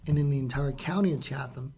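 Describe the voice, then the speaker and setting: Hoarse, raspy man with a mellow voice. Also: mid-range voice, fast talker. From California. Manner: chatty, with frequent "uh" fillers. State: hoarse from talking a lot. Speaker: interviewer for a real estate firm. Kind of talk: casual on-location interview. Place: real estate office.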